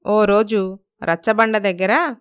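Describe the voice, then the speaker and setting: A woman works as narrator of a scripted moral tale. Her pitch is medium, and her voice is clean and smooth. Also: slow pace, dry recording, clean studio sound. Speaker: story narrator.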